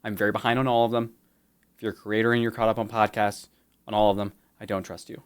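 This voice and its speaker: Guy hosting a stream, talks fast, cracks jokes, reads the chat out. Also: on the low side, little pitch movement, clear, resonant tone. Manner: quick, joking, reads chat aloud. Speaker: stream co-host. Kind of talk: casual livestream chat.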